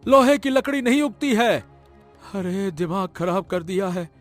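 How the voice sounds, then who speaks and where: A man's voice: high-pitched, animated, measured pace, soft background music. A man playing a poor, exasperated village woodcutter husband in a cartoon story, grumbling and whining. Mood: exasperated, fed up. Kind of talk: Hindi cartoon moral story voice acting.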